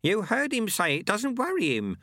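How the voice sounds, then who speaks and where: A man's voice: high voice, huge pitch swings, moderate pace, bright, forceful tone, no echo, clean studio sound, voice pushed up, exaggerated voice. A man voicing a serene old male monk and sweeper who speaks in a mild, matter-of-fact way and quotes proverbs.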